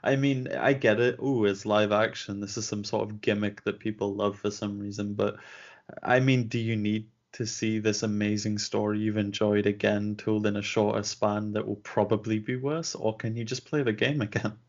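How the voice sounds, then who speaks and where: Blunt low-voiced male podcast co-host. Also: little pitch movement, moderate pace, lo-fi sound. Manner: blunt, skeptical, swears casually. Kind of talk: casual chat.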